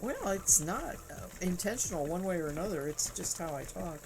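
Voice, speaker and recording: A woman streaming live while she spins yarn, chatting casually with viewers in a soft, calming voice and laughing easily. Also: on the low side, normal speed, vocal fry, background noise. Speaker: livestream host spinning yarn. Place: home crafting room with spinning wheel.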